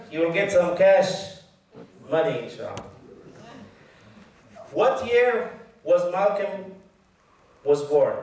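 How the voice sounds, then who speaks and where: Egyptian-accented guy giving a talk, kinda high voice, joking with the audience, booming hall echo. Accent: Egyptian Arabic. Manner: chatty and joking with the crowd, speaking slowly. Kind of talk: mosque lecture to an audience. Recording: very echoey hall, voice heard from far.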